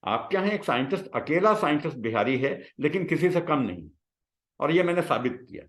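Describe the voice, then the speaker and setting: Guy, reminiscing, pretty animated pitch, echoey room. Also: a little fast. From Bihar, India. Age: 60s. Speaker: guest, retired scientist. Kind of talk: reflective personal storytelling in conversation. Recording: roomy, echoing sound.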